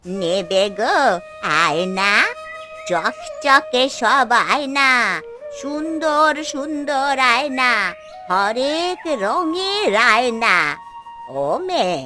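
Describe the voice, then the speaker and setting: A woman playing a sly old woman peddler in a fairy-tale cartoon, coaxing customers with drawn-out street calls. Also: high-pitched, lively intonation, heavily acted. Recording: music behind the voice.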